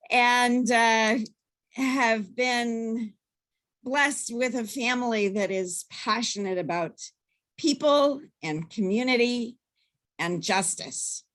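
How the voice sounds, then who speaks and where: Woman on zoom, slowish, a bit high, listing family. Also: a bit bright. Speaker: workshop participant. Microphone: video call.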